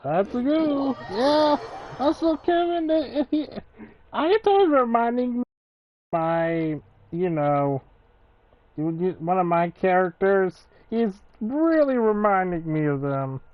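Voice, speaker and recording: High-pitched, very slow-talking male streamer. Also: expressive, no echo. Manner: sparse, halting reactions. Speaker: livestreamer. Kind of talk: casual gameplay commentary. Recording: dull lo-fi mic, game music behind.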